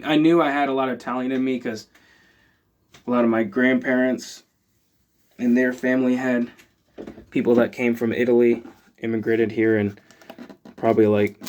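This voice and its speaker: A man's voice: medium pitch, a little hiss or hum. A man, chatting casually as he unboxes items for his channel, rambling with lots of fillers. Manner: rambling, filler-heavy, relaxed. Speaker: channel host.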